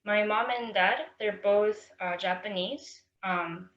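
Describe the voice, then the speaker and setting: A woman's voice: mid-range voice, takes it slowly. Woman, hesitant, many fillers, roomy sound, muffled lo-fi audio. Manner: hesitant, full of fillers, searching for words. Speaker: panelist. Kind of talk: personal storytelling on a panel. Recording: roomy and dull, lo-fi.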